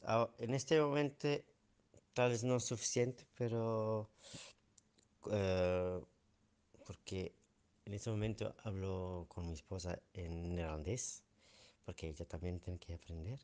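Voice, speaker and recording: A man's voice: lowish voice, darkish tone, clear, resonant tone. A man from Belgium with a Belgian Dutch accent, speaking as a Dutch native who learned the language later, answering interview questions hesitantly with many fillers and self-corrections.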